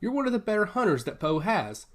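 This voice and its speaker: A man voicing a quiet, cold and calculating scythe-wielding outlaw who taunts softly, in an audiobook story. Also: high-pitched, very animated, fast, pitched above the performer's own voice. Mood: taunting. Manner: soft-spoken, stone-faced, taunting. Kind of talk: dramatized audiobook dialogue.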